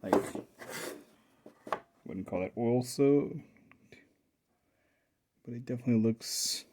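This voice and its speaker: A man presents a hobby repair video in a casual, off-the-cuff way. He has a mid-pitched voice and muses aloud as he goes, as if talking half to himself and half to the viewer. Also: slow pace, mellow, slight background noise. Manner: musing, thinking aloud to himself. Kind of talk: casual unscripted workbench commentary.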